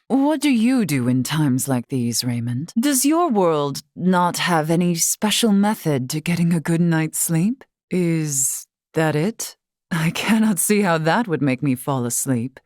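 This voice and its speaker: Calm formal scholar woman from a video game, kinda low, quietly warm, very dry sound. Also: no echo. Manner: formal, measured, elaborate diction. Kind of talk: scripted video game character acting.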